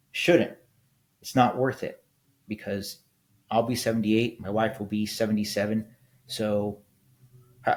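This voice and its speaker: A man's voice: on the low side, gravelly. A man in his fifties, a military veteran hosting a casual solo podcast, talking bluntly and rambling, often ending points with "right?". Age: 50s. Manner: blunt, rambling, frequent restarts and "right?" tags.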